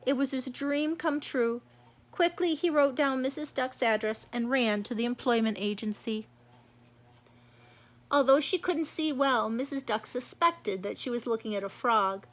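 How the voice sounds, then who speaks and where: A woman's voice: high-pitched, a little slow, a bit bright. A woman narrating a children's storybook as a read-aloud. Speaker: storybook reader.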